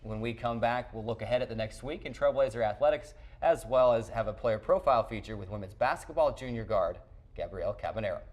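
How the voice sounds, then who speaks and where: A man's voice: fairly low pitch, quick pace. A man hosting a sports program, presenting and interviewing in a broadcaster's style. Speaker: sports show host, play-by-play announcer.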